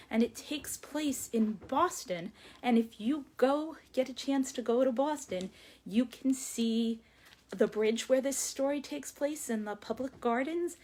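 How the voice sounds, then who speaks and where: A woman with a fairly high voice runs a storytime for young children. She takes her time with each phrase, as a librarian reading aloud and leading sing-alongs. She addresses the children directly and invites them to join in. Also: a little mellow, slight background noise.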